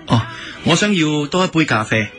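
A man's voice: a little high, lively intonation, normal speed, a bit bright. A man acting in a radio drama with a Hong Kong Cantonese accent, playing a courteous, eager and smitten silk-shop owner. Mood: courteous, interested. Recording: music bed under the voice.

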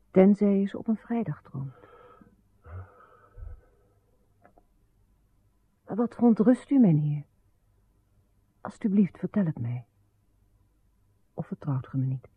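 An actress playing a devoted, gentle queen soothing and pleading with her husband in a radio drama, with a breathy voice. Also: medium pitch, no echo. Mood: tender concern. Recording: narrowband, dry old radio recording.

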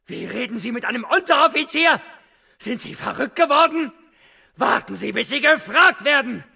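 An actor in a German radio drama playing a pompous, spiteful drill corporal with a barking, commanding, strained delivery. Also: male voice, extremely high pitch, animated, normal speed, gravelly, sharp tone, breathy edge, full character voice. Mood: angry, barking. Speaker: drill sergeant figure.

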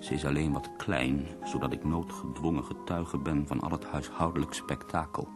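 Very deep-voiced, ailing, bitter poet. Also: male voice, steady tone, over music. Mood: wry, suffering. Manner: slow, weary, literary and sardonic. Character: gravely ill, half-paralysed, bitterly ironic male poet. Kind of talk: radio drama monologue and dialogue.

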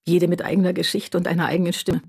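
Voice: mid-range voice, little pitch movement, fast, creaky, husky. An actor delivering an intimate confessional monologue about a lonely childhood, in a radio drama.

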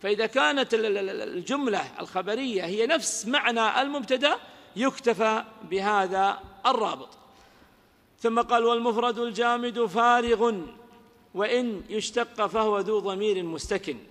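High-voiced man teaching in a reverberant hall. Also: a bit bright. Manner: quizzes listeners with rhetorical questions; recites verse lines slowly. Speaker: grammar teacher. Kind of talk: lecture explaining a classical grammar poem. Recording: lecture audio with long hall reverb.

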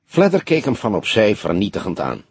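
A man's voice: on the low side, huge pitch swings, fairly quick, a little mellow, slightly rough, no room sound. A man narrating a scripted story as an audiobook reader.

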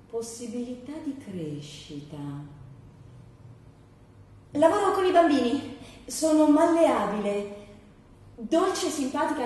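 A woman's voice: a little high, darkish tone, natural voice. A woman playing an over-agreeable, desperate job applicant in her thirties, gushing and repetitive in a theatrical satirical monologue. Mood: perky, insistent. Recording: very echoey stage, distant mic, faint noise.

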